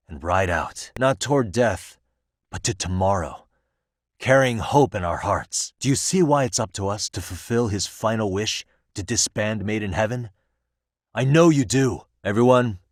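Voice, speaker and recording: A cool, principled investigator from a video game. The voice is very low-pitched and recorded dry and close, with no room sound. The delivery is casual and earnest, with an easygoing confidence. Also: male voice, no room sound. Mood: earnest, resolute. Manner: casual, self-assured, earnest. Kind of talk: English video game voice acting.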